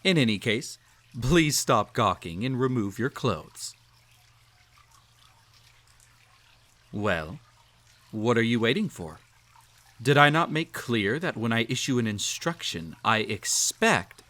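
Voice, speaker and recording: A man's voice: mid-range voice, very animated, measured pace, pushed and bright. A man playing a cruel, possessive emperor in a roleplay audio drama, speaking in a formal, pompous, teasing and condescending way. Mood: stern, impatient. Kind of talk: scripted roleplay audio drama, intimate address to listener.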